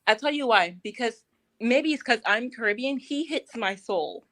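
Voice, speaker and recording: Woman guest on a stream chat, giggly and warm, drifts off mid-thought. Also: on the high side, animated, moderate pace. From Barbados. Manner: bubbly, laughs often, trails off mid-sentence. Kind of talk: casual live-stream chat.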